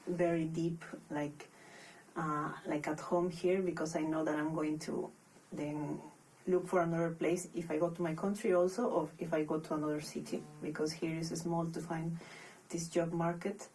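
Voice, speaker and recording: A young woman from Peru with a Peruvian Spanish accent, a podcast guest speaking English as a second language, thoughtful and pausing often to restart her phrases. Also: fairly low pitch, level tone, a little mellow, far from the mic, a little hiss or hum, poor audio. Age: young adult. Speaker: podcast guest, master's student. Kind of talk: casual interview.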